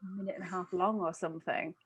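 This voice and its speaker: A woman's voice: lowish voice, fairly even intonation, fast, husky. A young adult woman chatting casually about films as a podcast co-host on a video call. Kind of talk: casual chat.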